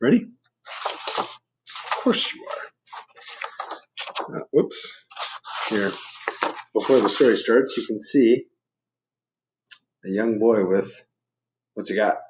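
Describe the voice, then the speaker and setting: A man's voice: lowish voice, slow, long pauses, noisy background. A man reading a picture book aloud to children, explaining things and putting questions to the listeners in chatty asides. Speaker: storybook reader.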